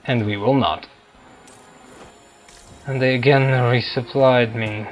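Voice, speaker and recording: A man giving casual game commentary, thinking aloud in a halting, hesitant way. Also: medium pitch, steady tone, rough recording. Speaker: gameplay streamer. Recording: game music behind. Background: video game music.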